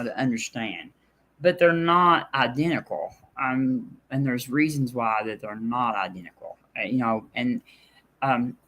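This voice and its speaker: A man's voice: medium pitch, a bit bright. A man hosting a casual debate, hesitant and filler-heavy as he reasons aloud. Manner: hesitant, many fillers and restarts. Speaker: host.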